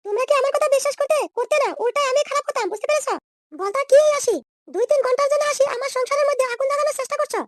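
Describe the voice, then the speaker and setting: A man's voice: very high voice, fairly even intonation, racing, background noise. A man voicing a brash, scolding, scheming young woman in a comedy cartoon. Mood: indignant, explaining. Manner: scolding, threatening, slangy insults.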